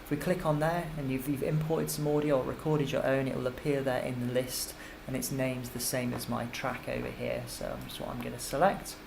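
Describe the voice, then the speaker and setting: Man, music technology teacher, informal tutorial, step-by-step explanation, mid-pitched, noisy computer microphone. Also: little pitch movement, a little fast, gravelly, hardly any pauses. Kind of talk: software tutorial narration.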